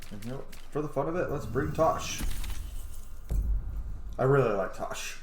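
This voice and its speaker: Male gaming host, mid-pitched, casual and rambling, roomy sound over prominent game music. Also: measured pace, a little hiss or hum. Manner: informal, rambling, reacts aloud. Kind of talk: casual game playthrough commentary.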